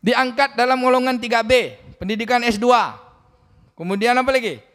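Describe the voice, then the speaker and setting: Man in his forties, high voice, preaching, long reverb of a mosque hall. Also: moderate pace. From Riau, Indonesia. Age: 40s. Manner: lively, questions the congregation directly. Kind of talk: mosque sermon.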